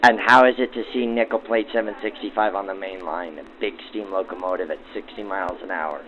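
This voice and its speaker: A man's voice: low-pitched, steady tone, brisk, highs cut off. A man hosting in the style of 1940s radio, narrating and interviewing through a vintage radio filter. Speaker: host and interviewer. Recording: thin old-time radio sound, music behind.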